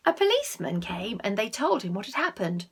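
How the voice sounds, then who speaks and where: A woman reading a picture book aloud to children, expressive, with asides to the listeners and hushed tones for effect. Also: mid-range voice, fairly quick, breathy edge. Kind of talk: animated read-aloud with asides to young listeners.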